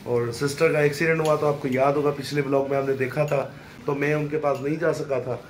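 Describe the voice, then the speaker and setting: A man in his thirties with a hoarse throat, chatting casually to viewers as a family vlog host. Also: a little high, steady tone, a little fast. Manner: chatty, teasing, addresses viewers directly. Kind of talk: casual vlog talk to camera. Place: at home, indoors. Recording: noisy home recording.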